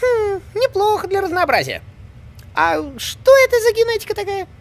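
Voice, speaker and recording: A woman voicing an excitable, impatient young male rabbit in a children's cartoon, exclaiming in a brash, colloquial way. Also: extremely high pitch, normal speed, heavily acted. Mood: curious. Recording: music behind the voice.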